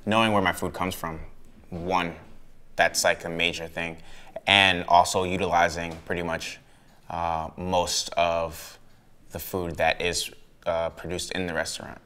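Low-voiced young man chef, earnest. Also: level tone, a little slow, bright, forceful tone, smooth. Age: young adult. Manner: earnest, emphatic about sustainability. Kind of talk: documentary interview. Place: living room of a residence. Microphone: lapel.

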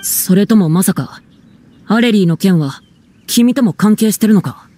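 An earnest young man of a theatre troupe in an anime game. He speaks solemnly and deliberately, with firm resolve. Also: high voice, warm tone. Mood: challenging.

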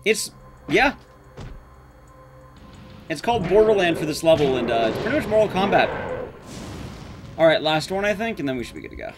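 High-pitched, animated young male streamer. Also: fairly quick, long pauses, over music. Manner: sweary, self-deprecating. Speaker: streamer speedrunning a game. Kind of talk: casual live gameplay commentary. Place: small room at home, game sound behind.

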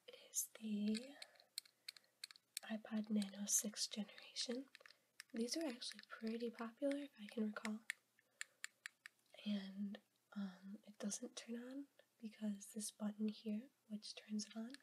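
A young woman whispering softly for an ASMR video while sick, rambling with ums. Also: mid-range voice, steady tone, background noise. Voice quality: whispered, soft. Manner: slow, rambling, with ums and soft laughs. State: sick, voice a bit rough. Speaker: ASMR creator. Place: at a table indoors.

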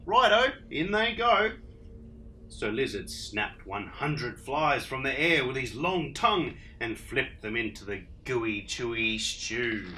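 Animated man reading a storybook. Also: mid-range voice, slight background noise, low-quality recording. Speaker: story reader, host. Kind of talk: expressive children's storybook narration.

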